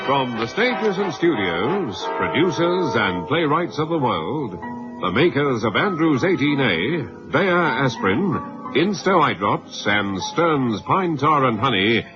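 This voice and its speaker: A man reading a scripted radio drama introduction in a formal, measured, declamatory way, as an announcer. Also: medium pitch, big pitch swings. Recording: dull old radio broadcast with music. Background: music.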